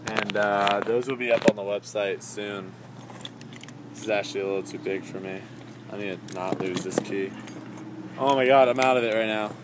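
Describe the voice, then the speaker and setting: A man's voice: lowish voice, fairly even intonation, normal speed. A young man rambling casually to a camera as he goes about errands, chatty, exclamatory and easily sidetracked. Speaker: vlogger. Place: on the go, car then grocery store. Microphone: handheld camera he sets down. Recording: clear ambient and vehicle noise.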